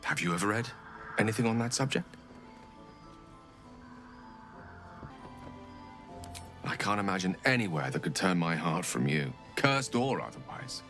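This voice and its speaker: A man's voice: sits low, animated, a little fast. An actor playing an eloquent, learned male wizard in a video game, speaking in articulate, tender, formal phrasing. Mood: flirtatious. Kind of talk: game voice acting. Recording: game audio with soft music behind.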